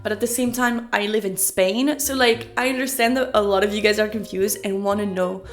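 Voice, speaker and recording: A woman's voice: medium pitch, steady tone, a little fast, soft background music. A woman in her twenties, raised in France, speaking with an American accent that has a slight French tinge. She chats candidly to her iPhone camera in a casual Q&A, swearing now and then and poking fun at herself. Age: 20s. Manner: chatty, candid, swears casually, self-deprecating. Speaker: streamer and content creator. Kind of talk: casual talking-head Q&A. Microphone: iPhone camera mic.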